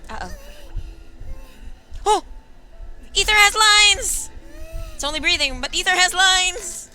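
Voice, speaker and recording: A woman game streamer giving casual, excitable, sweary live reactions as she plays. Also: very high-pitched, takes it slowly. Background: game music.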